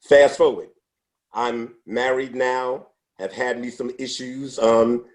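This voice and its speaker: A man in his sixties, a podcast interview guest, telling his life story in an unhurried, reflective way with frequent restarts. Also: medium pitch, fairly even intonation, a little mellow. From United States. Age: 60s. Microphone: podcast microphone.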